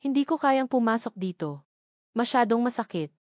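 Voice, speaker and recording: A woman voicing a gravely ill, guarded young man in love in a romantic audio drama. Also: fairly high pitch, lively intonation, moderate pace, darkish tone, dry recording, studio quality, close to an everyday voice. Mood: sorrowful.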